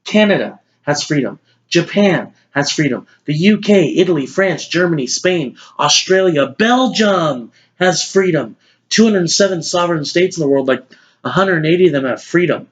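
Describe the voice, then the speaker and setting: A man's voice: fairly high pitch, animated, moderate pace, close to an everyday voice. A man playing a blunt, exasperated middle-aged news anchor in an emphatic, lecturing dramatic monologue. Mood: scornful, heated. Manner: emphatic, lecturing, list-driven delivery. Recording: dull lo-fi audio.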